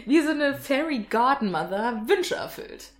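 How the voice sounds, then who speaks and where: A woman's voice: high voice, animated, measured pace. A woman in her twenties co-hosting a podcast in casual chat, enthusiastic and laughing often. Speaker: podcast co-host.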